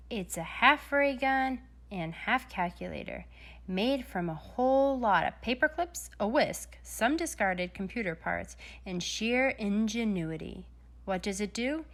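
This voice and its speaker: A woman narrating a children's story aloud, with dramatic storytelling emphasis. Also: mid-range voice. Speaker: storybook reader.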